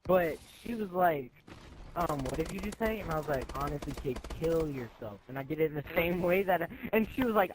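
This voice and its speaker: A teenage male high school student casually telling friends an animated anecdote, slangy and acting out both sides of conversations. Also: background noise. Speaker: storyteller.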